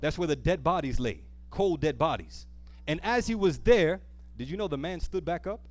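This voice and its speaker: A man's voice: fairly high pitch, animated, normal speed, dry recording. A man preaching to a congregation, earnest and emphatic, repeating key phrases and prompting listeners with questions. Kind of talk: church sermon with call-and-response questions to the congregation. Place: speaking live to a church congregation.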